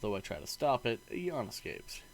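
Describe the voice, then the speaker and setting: A man reading a visual novel aloud in an expressive, playful narrating style. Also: fairly low pitch, brisk, vocal fry, a little hiss or hum.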